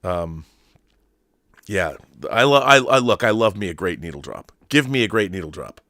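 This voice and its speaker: A man's voice: low-pitched, sing-song, moderate pace, sharp tone, dry recording. A middle-aged man hosting a fandom livestream with casual, enthusiastic commentary, rambling and repeating words as he thinks. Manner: enthusiastic, opinionated fan. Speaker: host of a film fandom livestream. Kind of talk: casual commentary, answering viewer messages.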